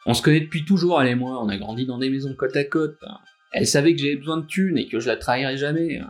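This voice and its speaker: A man in his twenties playing a nervous, naive young robbery suspect in an audio drama, speaking informally and haltingly. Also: medium pitch, faint music behind, natural voice. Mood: hesitant, confiding. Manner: hesitant, informal, stammering. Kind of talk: scripted audio drama dialogue and recounting.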